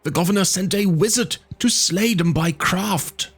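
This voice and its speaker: Casting: a man playing a gaunt old warrior chief, frothing with rage, high and screeching. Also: a little slow, slightly rough, heavily acted. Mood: furious accusing. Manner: screeching, frenzied shouting.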